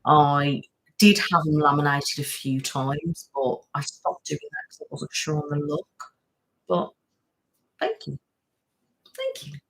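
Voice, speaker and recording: A woman's voice: low-pitched, sing-song, measured pace, long pauses, rough recording. A woman hosting a casual live stream from home, chatting on at length and answering viewers' comments. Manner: rambling, chatty, waffles on. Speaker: live-streaming channel host.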